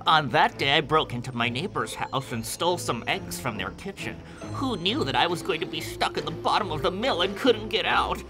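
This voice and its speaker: Hunched ghost thief played by a man, male, raised pitch, excitable swoops, loud music underneath. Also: normal speed, close to an everyday voice. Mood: excited, rueful. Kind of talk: animated fairy tale acting. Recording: voice over loud background music.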